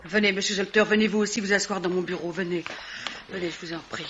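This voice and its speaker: A man's voice: high voice, steady tone, loud music behind the voice, lightly acted. An actor playing a fussy, anxious man in a vintage French radio mystery, with a pleading manner. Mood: insistent. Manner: hurried, pleading. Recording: dull radio sound, loud crowd noise.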